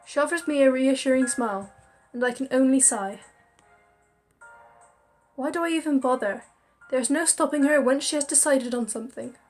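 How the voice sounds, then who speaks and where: A woman reading a visual novel aloud with expressive storytelling and chatty asides. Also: sits high, moderate pace, faint music behind. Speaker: game narrator.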